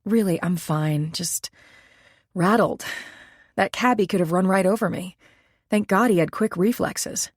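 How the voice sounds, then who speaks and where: Brief: wry shaken woman, read by the narrator, low voice, dry sound. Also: a little slow, pauses often, dry recording. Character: woman, startled mugging victim, wry.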